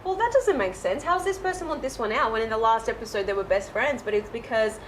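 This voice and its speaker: A woman's voice: on the high side, animated, quick pace, slight background noise. A woman in her twenties, raised in Israel, chatting as a guest and laughing often. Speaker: guest, reality show runner-up. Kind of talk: casual interview chat.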